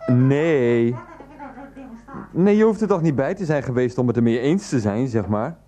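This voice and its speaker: A man's voice: mid-range voice, animated, a little slow, dark tone, faint music behind, lightly acted. An actor playing an earnest, flustered man in a radio play, pleading and placating in hesitant, repetitive phrases. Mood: placating, exasperated. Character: earnest, flustered adult son and partner, placatingly arguing. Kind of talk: 1990s radio play acting.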